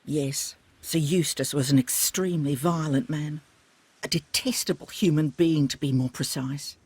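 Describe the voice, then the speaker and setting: A woman's voice: sits low, steady tone, normal speed, a little mellow, breathy edge. An actress playing a loyal, forthright lady's maid who speaks bitterly in a video game. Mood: indignant. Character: loyal, indignant lady's maid, protective of her mistress.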